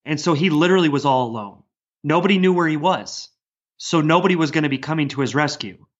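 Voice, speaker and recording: A man's voice: a little high, fast talker. A man speaking earnestly and discursively as a guest author in a casual podcast conversation. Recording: dull, lo-fi sound.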